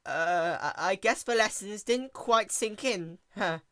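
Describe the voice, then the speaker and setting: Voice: mid-range voice, slow, sharp tone, no room sound, studio quality, pitched above the performer's own voice. A storyteller voicing a sheepish, nervous young male pony who stammers awkward excuses in a cartoon fan story.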